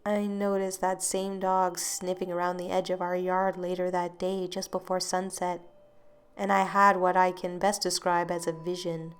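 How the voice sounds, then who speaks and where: A woman narrating a first-person horror story in a soft, hushed way that sometimes drops to a whisper. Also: on the low side, little pitch movement, normal speed, clear, resonant tone.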